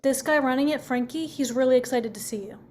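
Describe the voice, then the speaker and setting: A woman's voice: sits high, fast talker, a little mellow, natural voice. A woman playing a pushy, sassy young daughter in a comedy table read, with an eager manner.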